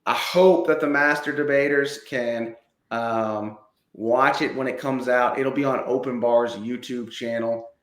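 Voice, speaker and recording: A man's voice: mid-range voice, steady tone, a little slow. A man in his late thirties, a comedian guest chatting in an informal, conversational way. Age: late 30s. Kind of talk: casual chat. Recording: echoey, roomy sound.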